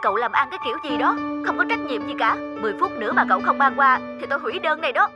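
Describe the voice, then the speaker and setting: A fretful cartoon mother in middle age. Her voice sits fairly high, and music plays clearly behind it. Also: lively intonation, rapid-fire. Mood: angry. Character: busy, fretful middle-aged mother of a young girl. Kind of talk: Vietnamese cartoon drama dubbing.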